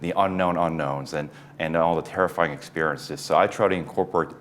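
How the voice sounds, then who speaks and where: A man in his forties, a neurosurgeon guest on an interview show, explaining things in a measured way with light chuckles. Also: a bass voice, fairly even intonation, a bit bright, a little hiss or hum. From United States East Coast. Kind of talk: casual interview talk.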